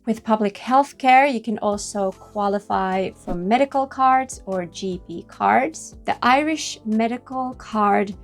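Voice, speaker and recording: A woman's voice: mid-range voice, vocal fry. Woman explaining, music behind. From Finland. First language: Finnish. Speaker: host of an expat advice video. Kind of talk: scripted informational explainer.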